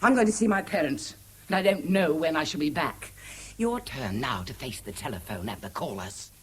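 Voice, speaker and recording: An actress with an English accent playing a scornful, indignant wife in a 1970s British radio drama, biting, sarcastic and emphatic. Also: female voice, low voice, very animated, normal speed. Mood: bitter, accusing. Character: scornful, indignant wife berating her husband.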